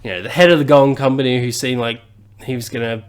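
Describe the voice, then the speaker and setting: Animated man, rambling chat. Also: mid-range voice, fast, clear, resonant tone. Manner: rambling, animated, swears casually. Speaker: podcast co-host. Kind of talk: casual chat.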